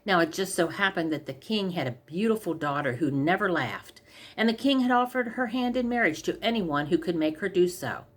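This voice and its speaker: A woman's voice: fairly low pitch, expressive, a little fast. A woman reading a scripted comic fairy tale aloud as a storyteller. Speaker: story reader.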